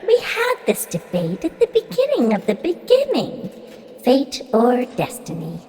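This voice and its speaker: A woman's voice: a little high, wildly swooping pitch, a little slow, darkish tone. A woman playing a commanding, zealous Fate of myth in an audio drama, grand and declamatory. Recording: echoing hall reverb.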